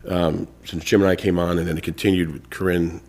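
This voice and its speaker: A congested man with a very low voice chairs a town board meeting from a table microphone. He talks casually, with many fillers and restarted phrases. He sounds to be in his thirties. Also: level tone, fairly quick, a little mellow. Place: town hall meeting room.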